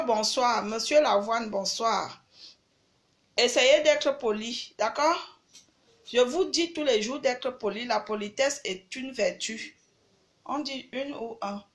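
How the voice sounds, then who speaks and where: High-voiced woman with a cold, lecturing. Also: takes it slowly. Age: older. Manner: scolding, lecturing, emphatic. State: has a cold. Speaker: livestream host. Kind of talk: live video chat with followers.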